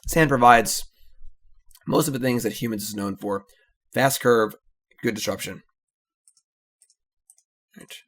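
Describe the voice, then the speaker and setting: A man casually commentating his own card game, analytical and relaxed, often trailing off with "so". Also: medium pitch, measured pace, long pauses.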